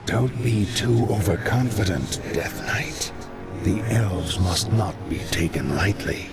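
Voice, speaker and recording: Dark necromancer adviser, slow delivery, wary, ominous, fantasy game drama, overpowering score. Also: male voice, deep voice, animated, creaky, full character voice. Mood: grim caution. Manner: slow, foreboding, counselling. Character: grim, cautious undead-serving male necromancer adviser. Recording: very loud music behind the voice.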